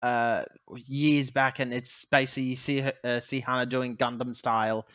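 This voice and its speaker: Guy playing tabletop rpg, just chatting, bright punchy voice, dry slightly lo-fi mic. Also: mid-range voice, little pitch movement, moderate pace, smooth, no room sound. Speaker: tabletop game player. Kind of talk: casual chat.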